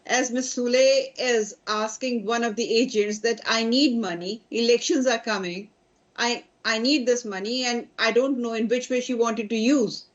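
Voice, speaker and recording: A woman with an Indian English accent, a party spokesperson on a debate panel, insistent and listing her points with halting fillers. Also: a little high, dull audio.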